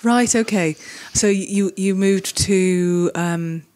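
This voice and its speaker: A woman's voice: mid-range voice, takes it slowly, dark tone. Hesitant, encouraging woman podcast host. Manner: hesitant, repeats words, encouraging backchannel. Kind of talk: interview podcast hosting.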